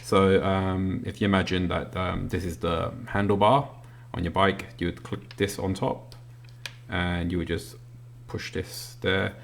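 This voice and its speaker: A man's voice: low-pitched, flat delivery, measured pace, gravelly. A man giving a casual, unscripted product review in a hesitant, matter-of-fact way, indoors at a table. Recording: noisy home recording with clicks.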